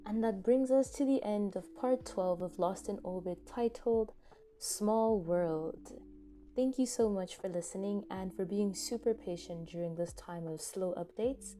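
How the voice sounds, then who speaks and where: A woman's voice: mid-range voice, measured pace, slight background noise. A woman narrating a scripted second-person story as the reader of a podfic. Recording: soft music behind the voice. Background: soft background music.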